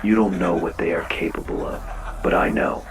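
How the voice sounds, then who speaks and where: Man acting a gravelly, menacing horror broadcast intruder. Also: sits low, steady tone, moderate pace. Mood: desperate, menacing. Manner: repetitive, insistent. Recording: noisy, crackling old radio transmission.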